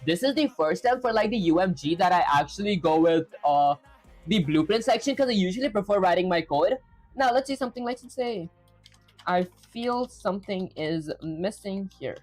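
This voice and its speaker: A woman streaming herself programming, chatting casually and thinking out loud with lots of "like" fillers. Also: mid-range voice, expressive, normal speed, soft background music. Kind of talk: casual live coding stream.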